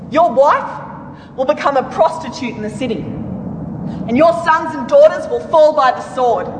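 High-voiced woman declaiming scripture in an echoey hall. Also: noisy background. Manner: declamatory, solemn, emphatic. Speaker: reader in a church dramatic reading. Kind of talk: dramatic scripture reading. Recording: echoey hall with long reverb.

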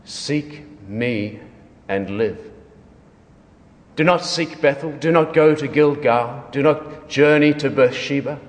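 A man's voice: mid-range voice, takes it slowly, lightly acted. Man performing stern God's prophet in echoing hall. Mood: mournful, urgent. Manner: solemn, declamatory, emphatic. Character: stern, wrathful God of Israel speaking through a prophet. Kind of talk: dramatic scripture reading. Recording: echoey hall with long reverb.

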